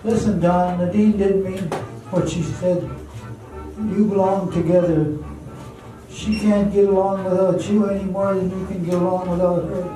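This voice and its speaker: An amateur actor playing a loyal, earnest friend who acts as peacemaker in a radio drama. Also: male voice, high-pitched, little pitch movement, normal speed, lightly acted. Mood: earnest, pleading. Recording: very echoey hall, distant mic, music behind.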